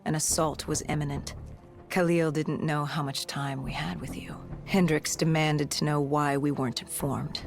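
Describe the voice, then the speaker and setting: An actor playing a grim, battle-worn cybernetic soldier, recounting humanity's fall in brooding, measured game narration with a gravelly edge. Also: mid-range voice, steady tone, soft background music, natural voice. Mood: tense, matter-of-fact. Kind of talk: scripted dramatic game narration.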